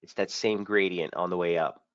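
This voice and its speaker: Host, male, lowish voice, a touch hoarse, brisk casual chart walkthrough, dull dry stream audio. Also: fairly even intonation, a bit bright, dry recording. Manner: brisk, casual trader talk with frequent fillers. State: slightly hoarse, under the weather. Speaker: market strategist hosting a trading webinar. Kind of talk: live market analysis webinar.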